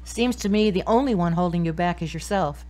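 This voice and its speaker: A woman's voice: on the low side, a little fast, mellow, natural voice. Woman acting firm, caring tough-love confidante. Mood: challenging. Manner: direct, probing. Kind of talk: audio drama acting.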